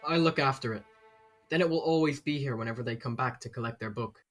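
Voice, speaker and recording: A man's voice: mid-range voice, fast talker, fairly bright tone, natural voice. A man acting an earnest, kindly young restaurant worker in a children's audio drama. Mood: curious, caring. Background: music.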